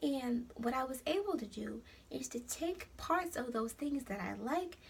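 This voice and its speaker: A young woman with a New York accent speaks in a slightly high, gravelly voice. She gives encouragement the way a preacher would and calls her listeners honey. A faint hiss of noise sits under the recording. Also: animated, measured pace. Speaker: host of an encouragement site. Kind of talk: casual motivational vlog.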